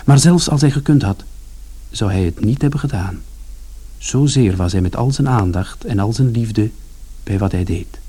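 A wry radio play narrator with a low, mellow voice. He tells his family's story in blunt, colloquial language, sardonic and mildly foul-mouthed. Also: expressive, normal speed, breathy edge.

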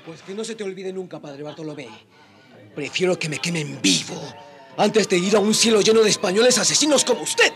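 An actor in a radio drama playing a proud, defiant young Indian rebel leader, speaking in a solemn, declamatory way. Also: male voice, high-pitched, lively intonation, fairly quick, a bit bright, soft background music. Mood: bitterly defiant.

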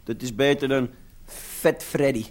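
A man's voice: medium pitch, steady tone, a little slow, lightly acted. An actor playing a clownish, mock-tough young man who teases in a drawl, in a radio drama. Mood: mocking, teasing. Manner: drawling, mocking. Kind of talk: Dutch radio drama acting.

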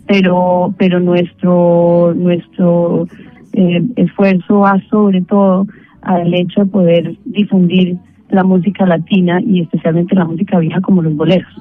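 A middle-aged woman from Bogotá with a Colombian accent, a singer speaking as an interview guest, measured and grateful with frequent pauses. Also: on the low side, flat delivery. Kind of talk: phone interview. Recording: tinny phone line with faint music.

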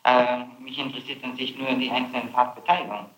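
Forceful male prosecutor, roomy noisy tape. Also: mid-range voice, little pitch movement. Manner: halting, probing, frequent tag questions. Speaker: public prosecutor questioning a suspect. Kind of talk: interrogation. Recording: noisy echoey archival interrogation tape.

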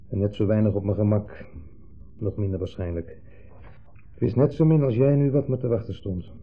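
A male actor playing a smug, needling ex-husband in a 1970s Dutch radio drama, theatrical and falsely jovial in an insinuating way. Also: fairly low pitch. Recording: muffled old 1970s radio recording.

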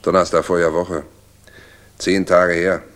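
Deep-voiced loyal orderly. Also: male voice, flat delivery, normal speed, fairly bright tone. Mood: dutiful, concerned. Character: loyal, plain-spoken soldier, general's cheeky orderly. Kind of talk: 1960s German radio drama acting.

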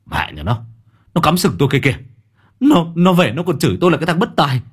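A man voicing a furious, hot-tempered young husband who curses and shouts. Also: on the low side, big pitch swings, a little fast, slightly rough, full character voice. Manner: cursing, shouting.

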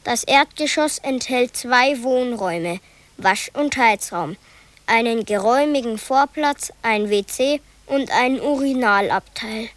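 A woman reading documents and street names aloud in a measured, deliberate way. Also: fairly high pitch, dry recording. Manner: slow, deliberate listing. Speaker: narrator.

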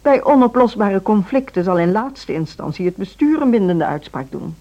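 An actress playing a composed, patronizing female music school director in a Dutch radio drama, speaking in a measured, authoritative way. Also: mid-range voice, animated. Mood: firm, dismissive. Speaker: actor in radio play. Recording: dull, band-limited radio recording.

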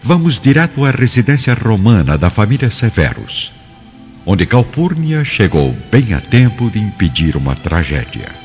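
A man's voice: lowish voice, sing-song, normal speed, a little mellow. A man with a Brazilian accent giving dramatic scripted narration for a radio drama. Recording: muffled radio drama with music behind.

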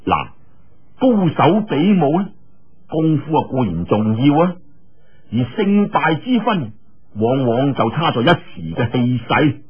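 A man's voice: medium pitch, very animated, slow pace, slightly rough, muffled recording. A man telling a martial-arts tale in Cantonese in a theatrical, colloquial storytelling manner, full of exclamations and asides.

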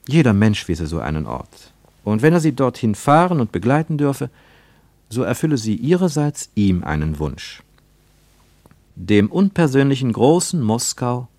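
An actor narrating a literary radio play as a grave, reflective storyteller in a measured manner. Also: male voice, lowish voice, a little mellow, dry recording, exaggerated voice. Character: grave, reflective male storyteller of a travel tale.

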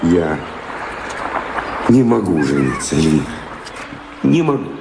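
An actor playing a restless, passionate wanderer in a radio play, tormented by love and longing, with gravelly, poetic, declamatory delivery. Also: male voice, low voice, sing-song, slow pace, background noise, exaggerated voice. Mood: anguished, pleading. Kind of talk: theatrical radio drama acting. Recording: roomy echo, music underneath. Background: music.

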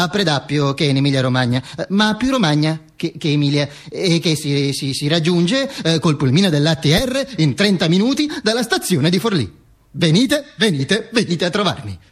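An actor playing a dead, vain fascist dictator who narrates his own afterlife in a halting, mock-solemn stage monologue. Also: a little high. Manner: halting, stammering repetitions, mock-solemn declaiming. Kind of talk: theatrical monologue, narrating to an audience.

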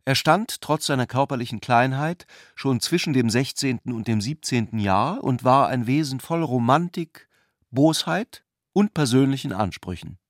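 This is a male narrator in a literary radio play. He reads prepared prose at a medium pitch, with a dry, echo-free studio sound. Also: expressive, moderate pace.

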